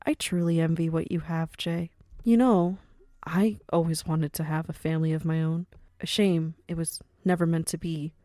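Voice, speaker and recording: A woman's voice: sits low, steady tone, moderate pace, mellow, no room sound, clean studio sound, lightly acted. A woman playing a courteous but commanding underworld matriarch in an audio drama, stately and formal. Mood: wistful, sincere.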